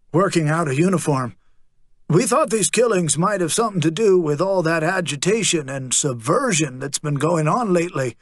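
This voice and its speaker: A man doing a fawning rough-spoken sergeant. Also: a little high, a bit bright, dry recording, clean studio sound, exaggerated voice. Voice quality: rough, coarse working-class speech. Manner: servile, placating, wheedling.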